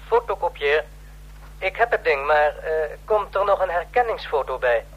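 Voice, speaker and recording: A man's voice: fairly high pitch, lively intonation, normal speed, dull audio. A man playing a dry, dutiful copy clerk in a radio play, speaking in a clipped, matter-of-fact way. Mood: businesslike. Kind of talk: Dutch radio play acting.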